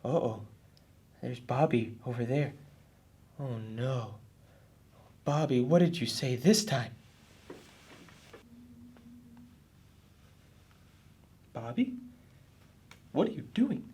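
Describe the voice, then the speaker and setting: A woman voicing an earnest, husky boy puppet who explains things helpfully in a children's puppet show. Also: very deep voice, lively intonation, slow pace, long pauses, dark tone, full character voice. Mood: concerned.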